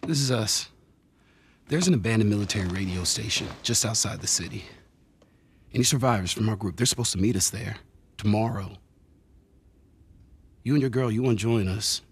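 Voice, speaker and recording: Casting: young American man, low voice, wary and protective game survivor played by a male actor, streetwise, some background noise. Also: moderate pace, pauses often, natural voice. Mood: serious. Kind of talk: video game drama dialogue.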